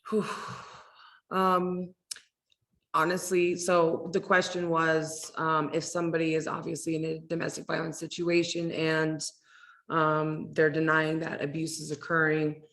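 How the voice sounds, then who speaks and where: A woman advocate talks casually on a community panel. Her voice sits very low and flat, with a gravelly fry, and she pauses on fillers as she goes. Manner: relaxed, conversational, many fillers. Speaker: domestic violence advocate. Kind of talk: panel discussion.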